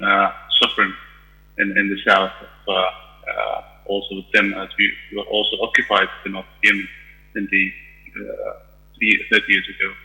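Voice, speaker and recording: Male panelist, lower pitch, sharp bright tone, careful hesitant speech. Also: low-quality recording. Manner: measured, hesitant with fillers. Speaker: political panel speaker. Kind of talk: conference speech.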